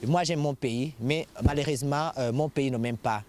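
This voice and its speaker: A man from Burundi who works with asylum seekers, answering interview questions haltingly with many hesitations. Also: mid-range voice, darkish tone, slight background noise. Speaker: community worker for asylum seekers.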